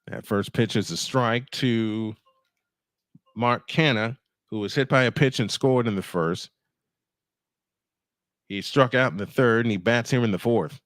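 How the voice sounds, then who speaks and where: A man's voice: mid-range voice, a little slow, long pauses, fairly bright tone, no room sound, clean studio sound. A man doing live baseball play-by-play on a stream, mixing in casual chat and rambling as he thinks aloud.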